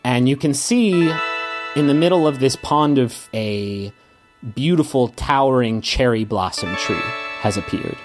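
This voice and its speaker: A man narrating a tabletop game as game master, halting and dramatic, improvising as he goes with pauses. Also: medium pitch. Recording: music under the voice. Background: background music.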